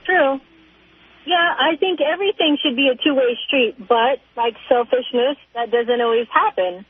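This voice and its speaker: A woman's voice: high-pitched, normal speed, breathy edge, no room sound. A woman calling in to a radio show by telephone, chatting casually and agreeably. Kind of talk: casual phone-in conversation. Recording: tinny phone line on radio.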